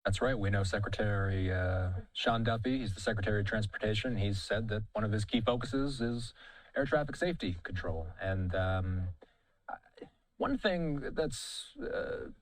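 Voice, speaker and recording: A man with a slightly low voice, recorded close and dry. He interviews in a broadcast news style, with deliberate, emphatic questions. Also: no room sound, poor audio.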